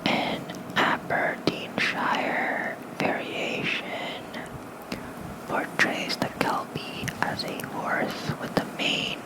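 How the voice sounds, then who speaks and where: A person reading aloud in a soft, breathy, gentle whisper for ASMR, close to a fluffy microphone. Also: poor audio. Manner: slow, gentle, hushed reading. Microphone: close microphone with fluffy windscreen.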